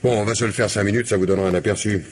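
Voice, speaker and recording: A man acting a brisk, weary, wry police commissioner in a French radio crime drama, with a French accent and blunt colloquial delivery. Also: lowish voice, fairly even intonation, slight background noise, close to an everyday voice.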